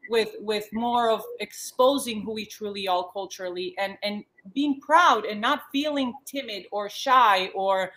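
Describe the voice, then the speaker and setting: A woman's voice: medium pitch, a little slow, few pauses, fairly bright tone, poor audio. Woman in her thirties, earnest, lots of restarts, video call from home. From born in the States, raised in Yugoslavia. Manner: earnest, many restarts and fillers. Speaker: panel guest, former model. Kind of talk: panel discussion on a video call. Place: home living room. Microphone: laptop webcam on a video call.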